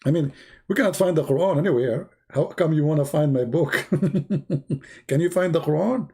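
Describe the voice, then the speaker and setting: A man hosting a livestream, rambling in a mocking, joking tone with a gravelly voice. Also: fairly high pitch, moderate pace, mellow. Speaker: livestream host. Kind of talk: casual livestream chat.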